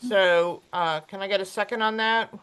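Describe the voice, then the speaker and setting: Brisk woman chairing, smooth voice. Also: medium pitch, fairly even intonation, a bit bright. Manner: brisk, procedural, with fillers. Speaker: meeting chair. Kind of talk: chairing a virtual meeting.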